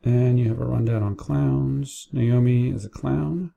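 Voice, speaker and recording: A man with a very dark, mellow voice and a gravelly creak talks through a screen-share tutorial. He explains each step informally, repeating points for emphasis, in the casual way of a boss training staff. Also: medium pitch, fairly even intonation, measured pace, dull audio. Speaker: business owner training staff. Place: indoors at a computer, keyboard and mouse clicks.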